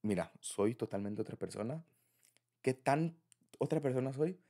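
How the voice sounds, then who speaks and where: A man's voice: mid-range voice, lively intonation, moderate pace, mellow. A man with a Mexican accent speaking in an informal, affectionate, rambling way, as in a personal ASMR boyfriend roleplay.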